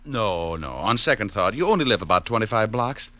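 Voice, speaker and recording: An actor playing a flippant, teasing private detective in an old-time radio drama. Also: male voice, lowish voice, very animated, fairly quick, sharp tone. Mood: breezy teasing. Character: breezy, wisecracking male private detective.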